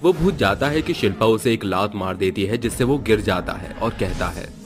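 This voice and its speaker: A man's voice: fairly low pitch, moderate pace, music in the background. A man narrating a scripted horror cartoon story in a dramatic storytelling style.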